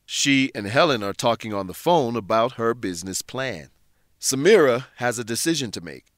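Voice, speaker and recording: Guy narrator for a learning radio drama, mid voice, measured n clear, very dry recording. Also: medium pitch, lively intonation, fairly bright tone, no room sound. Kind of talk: scripted narration for English learners.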